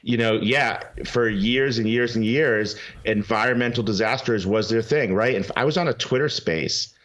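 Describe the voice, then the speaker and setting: A man's voice: mid-range voice, moderate pace, fairly bright tone. A man appearing as a guest on a talk show, speaking earnestly about a legal case and often restarting his sentences. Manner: earnest, many fillers and restarts. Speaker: guest, head of a legal advocacy group. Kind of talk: interview over a remote line. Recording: dull, lo-fi remote line.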